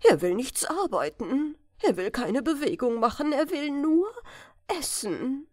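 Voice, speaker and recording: A woman's voice: sits high, big pitch swings, measured pace, darkish tone, no room sound, studio quality, pitched above the performer's own voice, heavily acted. Woman voicing an exasperated, grumbling fairy-tale king. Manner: indignant, complaining. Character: grumpy, exasperated king and father of prince.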